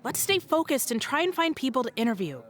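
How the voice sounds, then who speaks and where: High-voiced, earnest young woman. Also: sing-song, fast, fairly bright tone. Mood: exasperated. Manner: insistent, matter-of-fact. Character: exasperated, earnest young woman keeping a partner focused. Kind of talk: audio comedy dialogue.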